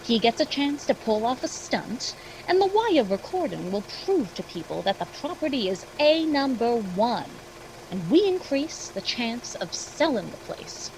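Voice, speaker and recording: Breezy, high-voiced property agent. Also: female voice, very animated, measured pace, a little mellow, poor audio. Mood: reassuring, breezy. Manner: folksy, salesy, reassuring. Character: breezy, persuasive property agent, pushing a sale. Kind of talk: old-time radio acting. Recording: faint engine rumble behind the voice.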